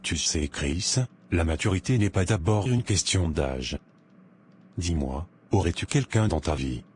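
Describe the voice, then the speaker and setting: Devout, composed family man for animation, male actor, formal and paternal, very deep, slow delivery. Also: animated, darkish tone, a little hiss or hum. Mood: serious, advising. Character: calm, measured, devout father and husband. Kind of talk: animated drama dialogue. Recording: music behind the voice.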